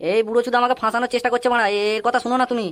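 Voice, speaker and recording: A man's voice: very high-pitched, level tone, racing, fairly bright tone. A man voicing a foul-mouthed, blustering young thief in a comic cartoon. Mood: panicky, defensive. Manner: swearing constantly, blustering. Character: foul-mouthed brash young male neighbourhood thief, defiant.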